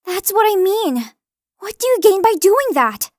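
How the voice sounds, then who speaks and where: A woman's voice: extremely high pitch, big pitch swings, normal speed, no echo, clean studio sound. A woman playing a guarded, cynical runaway girl in an anime fan dub, blunt and distrustful. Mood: guarded, challenging. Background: music.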